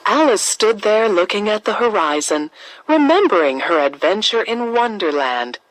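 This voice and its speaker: A woman's voice: mid-range voice, very animated, moderate pace, no echo, rough recording. A woman reading scripted narration for a children's story as the storyteller.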